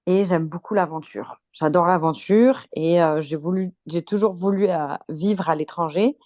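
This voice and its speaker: A woman in her twenties chats casually as a podcast guest, in a slightly low voice. She has a French accent with a faint Québécois tinge and a chatty, good-humored manner. The sound is dry and a little dull. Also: normal speed, darkish tone, dry recording. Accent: French from France with slight Québécois tinge. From France. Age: 20s. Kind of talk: casual chat, telling anecdotes.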